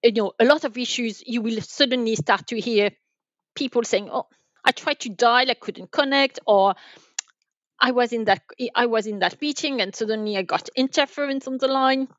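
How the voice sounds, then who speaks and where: A woman explaining things as an expert guest in a podcast interview, haltingly, often repeating and rephrasing her phrases. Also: on the high side, no room sound, highs cut off. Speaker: guest, enterprise sales manager.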